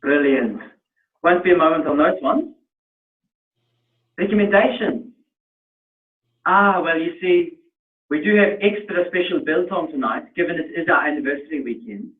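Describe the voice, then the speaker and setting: A man putting on a South African waiter, smug and high. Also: moderate pace, pauses often, far from the mic, close to an everyday voice. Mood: smooth, courteous. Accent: South African (put on). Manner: smooth, courteous, condescending. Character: polite male restaurant waiter, smug and dismissive. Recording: tinny, echoey room from afar.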